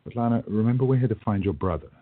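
A man playing a kindly, courteous time-travelling shopkeeper in a radio play, speaking gently and a little hesitantly. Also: lowish voice, very fast, slightly rough, narrow, tinny audio. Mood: fond, hushed.

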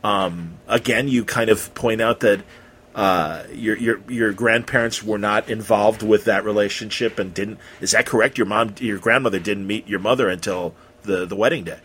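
A man hosting a casual interview, putting his questions in an informal, halting and rambling way. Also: lowish voice, a bit bright, slightly rough.

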